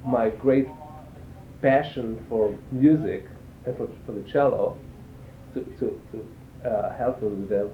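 Halting older man, echoey tinny recording. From Israel. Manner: halting, searching for words with repeated phrases. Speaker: interviewee, cello teacher and performer. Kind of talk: reflective interview answers. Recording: echoey, tinny band-limited sound with faint rumble.

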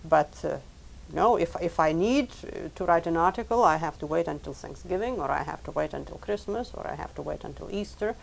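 A woman with a low voice and a gravelly edge, a native Lithuanian speaker, answering questions in a recorded interview. She talks in a measured, thoughtful way, often repeating words. A faint hiss sits under the recording. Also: expressive, poor audio. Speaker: professor and translator. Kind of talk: recorded oral history interview.

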